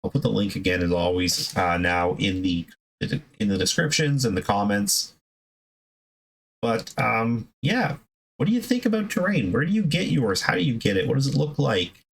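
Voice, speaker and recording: Male hobby video host, lower-pitched voice, conversational and emphatic, loose unscripted delivery with rambling asides. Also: expressive, normal speed, gravelly, slightly rough, poor audio. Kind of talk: casual chat, unscripted hobby advice.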